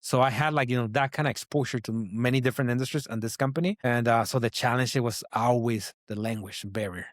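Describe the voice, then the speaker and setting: A man's voice: mid-range voice, fast, hardly any pauses, dry recording, clean studio sound. A man from Mexico with a heavy Mexican Spanish accent, Spanish his first language, telling a rambling story as a guest in a casual interview, with many fillers and restarts. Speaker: designer guest.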